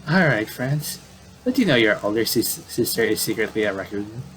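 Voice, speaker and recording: A man doing casual game commentary, reading on-screen dialogue aloud and adding halting asides. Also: lowish voice, lively intonation, fairly bright tone, a little hiss or hum, rough recording. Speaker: gamer doing playthrough commentary.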